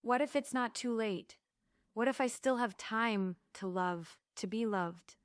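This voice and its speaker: A woman's voice: on the high side, unhurried, clear, resonant tone, no echo, clean studio sound, close to an everyday voice. A woman playing a yearning, stubborn, emotional heroine in a script read of a musical stage play. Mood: tender.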